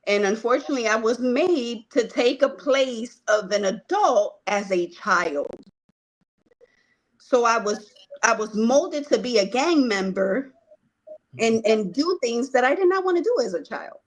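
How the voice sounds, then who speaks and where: A woman's voice: fairly high pitch, lively intonation, rough recording. Earnest woman from Puerto Rico. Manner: earnest, hesitant with many pauses. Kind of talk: personal testimony in conversation.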